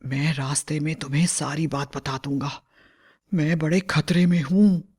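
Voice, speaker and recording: A man's voice: on the high side, fairly even intonation, unhurried, darkish tone, breathy edge. A man playing an earnest village fellow, speaking dramatically in a cartoon horror story. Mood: urgent. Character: village men, friends anxious over a witch.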